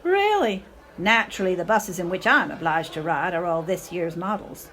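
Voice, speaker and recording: A woman's voice: fairly low pitch, lively intonation, moderate pace, fairly bright tone, low-quality recording. A woman acting a melodramatic, self-pitying, clinging middle-aged mother in a radio play, with theatrical, affected speech. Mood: bitter, sarcastic. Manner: theatrical, affected, sprinkles in foreign phrases.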